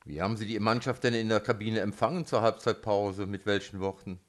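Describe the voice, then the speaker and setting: A man's voice: on the low side, a little fast. A man hosting a local radio sports interview, putting questions as the interviewer.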